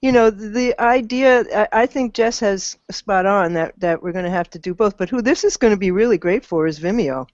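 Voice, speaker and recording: A woman in her sixties speaks conversationally as a panelist. Her voice is mid-pitched. It comes through very dry and a little dull over a video call. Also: brisk, few pauses, a little mellow, no room sound. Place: indoor room on a video call.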